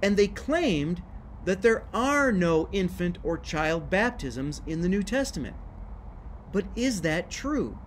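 A middle-aged man teaches church history straight to camera, pressing each point with emphasis. His voice sits a little high and swings widely in pitch. Faint outdoor ambience sits behind him on the porch. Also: takes it slowly, a little hiss or hum. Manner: emphatic, lively, gesturing with his hands. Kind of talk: scripted video lesson to camera.

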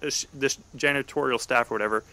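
A man in his thirties with a gravelly voice, telling a personal story conversationally as a podcast host. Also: medium pitch, fast talker, sharp tone.

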